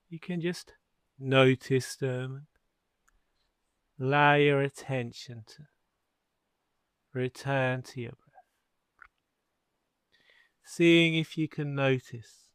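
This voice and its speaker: Male hypnotherapist for guided sleep session: smooth, clean tone, soothing and unhurried, dry recording. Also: mid-range voice, no room sound. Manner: slow, soothing, measured with long pauses.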